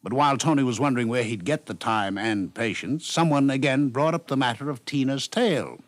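A man's voice: medium pitch, a little fast, dry recording. A man reading scripted storytelling narration in the manner of 1950s radio. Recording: dry 1950s radio studio recording.

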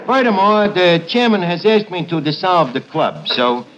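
An actor playing a blunt, mock-formal tavern manager in an old radio sitcom, before a studio audience. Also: male voice, high voice, big pitch swings, normal speed. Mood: wry. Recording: muffled, very noisy 1951 radio recording. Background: loud studio audience.

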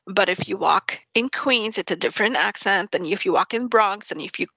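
A woman from New York with a standard New York accent speaks emphatically in casual chat, stopping to rephrase as she goes. Her voice is bright and forceful. The sound is dry and a little dull. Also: medium pitch, fairly quick, husky, dry recording.

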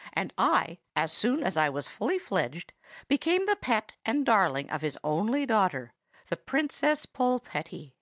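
A woman's voice: on the high side, a bit bright, dry recording, clean studio sound, pitched above the performer's own voice, full character voice. A woman voicing a vain, pompous female parrot who tells stories in a grand, easily offended manner.

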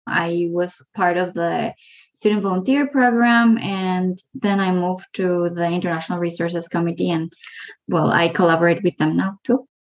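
Woman from guatemala, calm guest, kinda muffled lo-fi mic. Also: on the low side. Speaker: guest, animation student. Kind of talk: casual interview chat.

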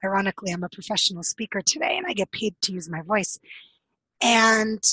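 Woman guest sharing her story, candid and earnest, kinda husky, dry close mic. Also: medium pitch, animated, a little fast, bright, forceful tone, no room sound, rough recording. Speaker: podcast guest. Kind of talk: personal storytelling in conversation.

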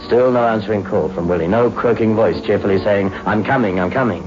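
Male actor as young London crime reporter, low voice, wry and theatrical, muffled vintage radio sound. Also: brisk, over music, close to an everyday voice. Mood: brooding, suspenseful. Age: young adult. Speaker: lead actor and narrator. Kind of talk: 1960s radio suspense acting. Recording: muffled old radio recording.

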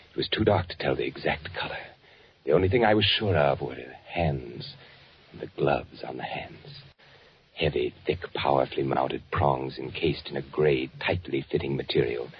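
An actor playing a hunted, fearful spy in a 1940s radio thriller, narrating in a brooding, deliberate way. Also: male voice, rumbling low, a little hiss or hum. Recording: muffled 1940s radio recording with music.

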